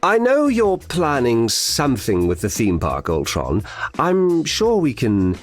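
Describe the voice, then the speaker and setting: An actor playing a smug, scheming Asgardian trickster god in a video game, theatrical and mockingly courteous. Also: male voice, medium pitch, wildly swooping pitch, unhurried, faint music behind. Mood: smug, teasing. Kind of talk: video game character voice lines.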